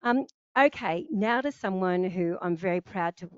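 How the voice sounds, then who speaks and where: A woman's voice: on the low side, lively intonation, moderate pace, clear, resonant tone, no room sound, dull audio. A woman hosting a launch event, offering thanks and introductions with many ums. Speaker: host.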